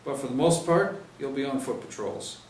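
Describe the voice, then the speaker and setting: A man's voice: mid-range voice, moderate pace, voice pushed up, lightly acted. A man voicing a stern, no-nonsense police sergeant giving orders with clipped authority, in an audiobook-style story reading. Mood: stern, commanding. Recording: roomy, distant mic, faint noise.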